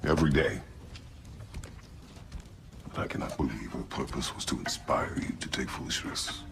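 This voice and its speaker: A man voicing a stern, weary, middle-aged warrior god and father in a video game, terse, grave and commanding, with a gravelly voice. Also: a bass voice, moderate pace, background noise. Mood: stern, protective. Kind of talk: video game character acting.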